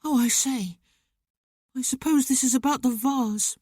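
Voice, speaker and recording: A narrator voicing a hot-tempered teenage boy who protests and pleads in a raised, high voice in an audiobook. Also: measured pace, darkish tone, husky, no room sound, studio quality. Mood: sheepish. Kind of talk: audiobook character voice.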